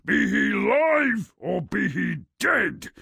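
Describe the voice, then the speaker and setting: A man voicing a huge, menacing man-eating giant for a video game, booming out threats in a gravelly, raspy voice. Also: big pitch swings, slow, bright, forceful tone, dry recording, full character voice. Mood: menacing, bellowing. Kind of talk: video game character acting.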